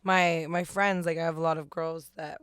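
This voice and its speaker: A woman's voice: low voice, flat delivery, moderate pace, fairly bright tone, clear, resonant tone, dry recording, clean studio sound. A young woman guest on a talk show, chatting casually in gushing, slangy language with plenty of swearing. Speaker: guest, musician and influencer.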